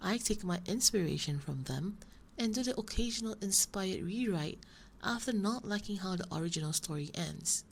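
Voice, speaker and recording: A woman playing a flustered, shy romance novelist roommate in an ASMR roleplay, hesitant, soft-spoken and rambling as she justifies herself. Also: fairly low pitch. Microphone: binaural ASMR microphone. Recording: faint noise, binaural microphone.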